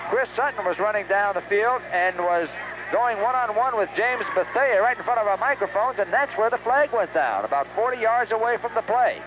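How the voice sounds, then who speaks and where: Male American play-by-play announcer, high voice, live football radio call in clipped phrases, dull old broadcast sound. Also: a little fast. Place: outdoors at a stadium. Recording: dull, noisy 1970s radio recording.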